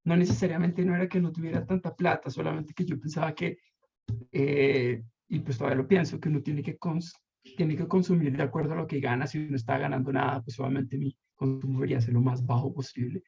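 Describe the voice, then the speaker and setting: Colombian guy in his thirties, raspy voice with fry, muffled lo-fi take, rambling interviewee. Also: on the high side, steady tone, fairly quick, a little mellow. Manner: rambling, reflective, many fillers and restarts. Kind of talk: casual storytelling interview. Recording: muffled lo-fi recording.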